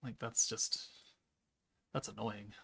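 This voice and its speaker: A man in his thirties streaming a game from home, chatting casually and reading chat aloud in a monotone, deadpan way. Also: lowish voice, slow, vocal fry, husky. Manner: monotone, laid-back, deadpan. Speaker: gaming streamer.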